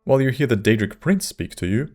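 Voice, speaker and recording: A man narrating a scripted video essay as host, measured, with wry asides. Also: mid-range voice, lively intonation, darkish tone. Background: faint music.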